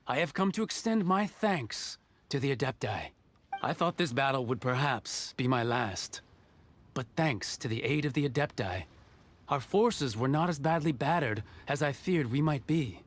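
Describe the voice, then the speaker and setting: A man's voice: medium pitch, expressive, moderate pace, a little hiss or hum, lightly acted. An actor voicing an earnest, dutiful male soldier sergeant who speaks formally and respectfully. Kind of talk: video game cutscene voice acting.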